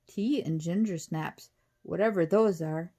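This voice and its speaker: A woman's voice: fairly low pitch, measured pace, mellow. A woman voicing an earnest, polite orphan girl in a children's storybook reading. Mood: curious.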